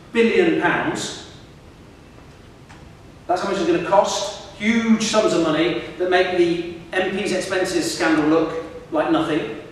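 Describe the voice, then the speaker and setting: A middle-aged professor gives a lively public lecture, putting questions to the students in front of him. His voice sits fairly high for a man. He is heard from a distance in a large hall with long, booming reverb. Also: slight background noise. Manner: lively, engages the audience with questions. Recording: echoey hall recorded from far off.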